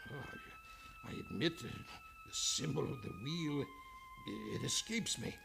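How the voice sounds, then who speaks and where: An actor playing a cunning older psychiatrist turned murderer in an old-time radio drama, speaking deliberately and condescendingly with hesitant repeats. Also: mid-range voice, huge pitch swings, creaky, over music, exaggerated voice. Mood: cold, cornered.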